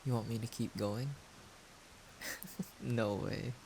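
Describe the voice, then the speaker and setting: Slow, creaky-voiced caring young male friend acted by a man. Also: medium pitch, fairly even intonation, darkish tone. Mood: casual, teasing. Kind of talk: intimate audio roleplay, spoken to the listener. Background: rain-like noise.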